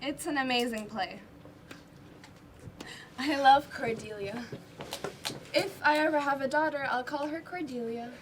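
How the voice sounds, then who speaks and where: Casting: young woman playing discouraged, defiant drama student, high voice, amateur stage play, noisy recording. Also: a little slow, pauses often. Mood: wistful. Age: teenager or young adult student performer.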